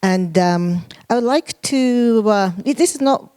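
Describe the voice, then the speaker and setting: A woman's voice: on the high side, animated, measured pace, warm tone, clear, resonant tone. A woman making a hesitant comment from the floor at an academic conference, with many fillers and restarts. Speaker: conference fellow and association president. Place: conference room.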